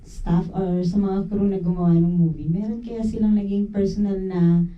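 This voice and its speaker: A woman's voice: mid-range voice, steady tone, moderate pace. A woman with a Filipino accent asking a polite, hesitant question in Tagalog mixed with English. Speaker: questioner at press event. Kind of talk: polite interview question in Taglish. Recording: echoey, dull, distant microphone.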